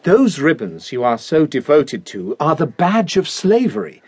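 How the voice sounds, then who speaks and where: A zealous young boar lectures earnestly in a radio play. The delivery is slow and swings widely in pitch, with noise and animal sounds behind. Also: male voice, sing-song, a little mellow. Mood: stern, reproving. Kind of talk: radio drama acting.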